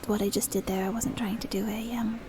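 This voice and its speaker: A woman's voice: medium pitch, fairly even intonation, a little fast. A woman from Dublin, chatting in a rambling, self-deprecating ASMR style, often dropping into a whisper. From Dublin, Ireland. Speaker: ASMR video creator. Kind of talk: ASMR, rambly casual chat.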